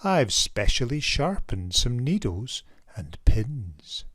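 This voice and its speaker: A man voicing an eager, easily flustered plump tailor in a children's storybook, with an excitable manner. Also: medium pitch, takes it slowly, breathy edge, no room sound, clean studio sound, heavily acted. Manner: spluttering, excitable. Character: eager, flustered male storybook tailor with five double chins.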